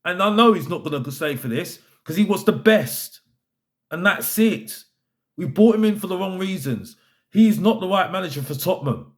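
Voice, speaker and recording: A man's voice: sits high, lively intonation, moderate pace. A man giving an opinionated football fan rant, exasperated and emphatic, repeating his phrases to drive points home. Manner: exasperated, fed up.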